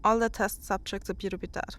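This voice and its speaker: A woman playing a tense space explorer in an audio drama, giving a halting spoken progress report. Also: mid-range voice, little pitch movement, fairly bright tone, close to an everyday voice. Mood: uneasy, focused. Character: tense female space explorer searching a dead station.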